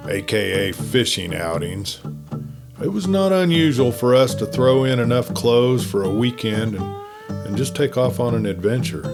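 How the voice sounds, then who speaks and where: Male campfire-tale narrator, on the low side, folksy and conversational, reading a story.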